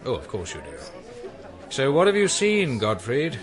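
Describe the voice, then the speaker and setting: Suave villain, very lively intonation. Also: male voice, mid-range voice, a faint crowd. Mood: mocking. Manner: arch, teasing, drawn-out words. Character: suave, mocking male criminal and collector. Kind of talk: radio drama acting.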